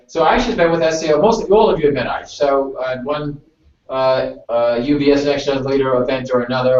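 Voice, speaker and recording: A man giving a measured, semi-formal advisory talk to students in a deliberate explaining manner. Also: mid-range voice. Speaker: organization speaker hosting a student conference call. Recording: distant, echoey, muffled conference call.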